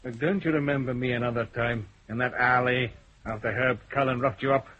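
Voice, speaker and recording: An actor playing a steady police detective in a radio drama, speaking in a level, firm way. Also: male voice, mid-range voice, fairly quick, close to an everyday voice. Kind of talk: 1970s radio drama acting. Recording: muffled old radio broadcast.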